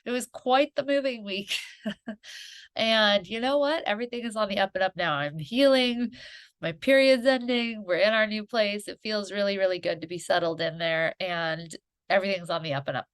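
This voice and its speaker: A woman's voice: medium pitch, moderate pace. A woman hosting a solo podcast, talking in an earnest, encouraging, conversational way, with a stuffy nose from a head cold. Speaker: podcast host.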